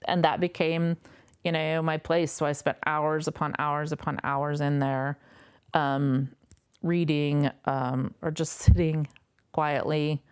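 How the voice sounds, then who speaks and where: A woman guest sharing a personal story in a reflective, unhurried way, with frequent fillers. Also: low voice, little pitch movement, a little mellow, clear, resonant tone. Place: a home.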